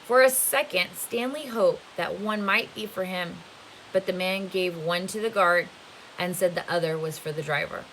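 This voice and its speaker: Female read-aloud narrator, teacher-like: slightly low pitch, forceful bright tone, expressive storytelling, light hiss. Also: moderate pace, low-quality recording. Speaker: teacher reading aloud to students. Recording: faint hiss behind the voice. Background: faint steady hiss.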